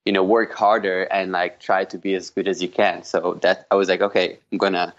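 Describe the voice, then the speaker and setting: Low Israeli-accented man, muffled sound. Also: little pitch movement, fairly quick. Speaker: podcast guest, jazz guitarist. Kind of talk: casual conversational interview.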